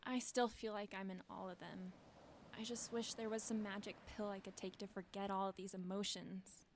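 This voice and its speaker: A woman's voice: medium pitch, fairly even intonation, normal speed, a little hiss or hum, natural voice. A woman playing a grieving, withdrawn teenage girl with a dry, wry manner in an audio drama. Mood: melancholy. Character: grieving teenage girl, withdrawn, sardonic, missing her mother. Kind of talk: fiction podcast audio drama acting.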